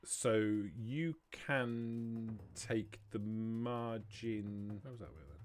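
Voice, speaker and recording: A man running a tabletop roleplaying game as game master, explaining rules and narrating in halting, self-correcting phrases. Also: fairly low pitch, faint music behind. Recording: noisy hobby livestream audio.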